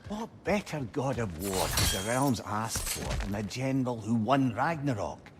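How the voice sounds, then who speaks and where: An actor playing a wry, encouraging old sage with a Scottish accent and chatty phrasing in a video game. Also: male voice, medium pitch, expressive, moderate pace, heavy background noise, natural voice. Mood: admiring, persuasive. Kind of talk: dramatic video game acting.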